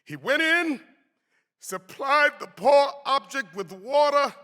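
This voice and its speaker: A man reads a historic text aloud as an actor would, slowly and with wide, animated swings of pitch. His voice is bright and forceful, ringing with long hall reverb. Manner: theatrical, deliberate delivery with swelling emphasis. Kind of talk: dramatic reading of a historic pamphlet.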